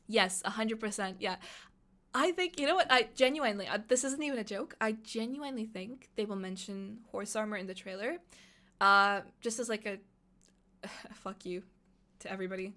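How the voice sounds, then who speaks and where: Young woman, Russian native speaker, live streamer, chatty and excitable, lots of fillers. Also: medium pitch. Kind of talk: live reaction stream, reading chat.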